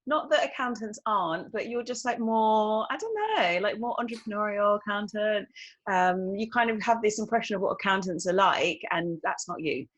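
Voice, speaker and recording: A woman hosting a podcast interview, chatty and quick to laugh. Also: mid-range voice, fairly bright tone, highs cut off. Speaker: podcast host. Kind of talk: casual interview chat.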